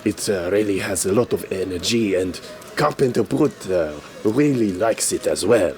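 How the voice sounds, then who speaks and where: A man playing a clueless, self-important European scene celebrity, hesitant and deadpan, in a comedy sketch. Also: fairly low pitch, moderate pace, soft background music, close to an everyday voice. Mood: clueless, pompous.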